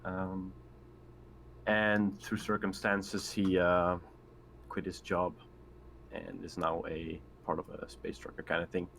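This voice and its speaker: A man with a very low voice takes part in a casual online roleplaying session. He is easygoing and agreeable, and faint noise sits under his voice. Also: completely flat delivery, slow pace, gravelly, pauses often, fairly bright tone. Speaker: tabletop game player. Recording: faint noise on home voice-chat mic.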